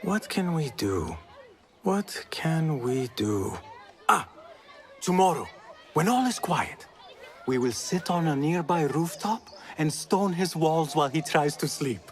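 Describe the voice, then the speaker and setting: Cocky young thief, higher-voiced. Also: male voice, sing-song, measured pace, a faint crowd. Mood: playful, consoling. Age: young adult. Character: cocky, playful, warm-hearted young male street thief. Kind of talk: dramatic video game acting.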